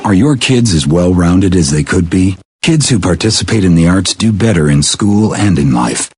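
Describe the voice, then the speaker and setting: A man with a husky voice reading a scripted public service announcement. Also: low-pitched, animated, normal speed, a little mellow, no echo, studio quality.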